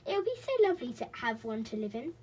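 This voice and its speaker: A woman's voice: a little high, very animated, quick pace, a little mellow, a little hiss or hum. A woman reading aloud and voicing an eager young girl in a children's storybook. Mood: delighted.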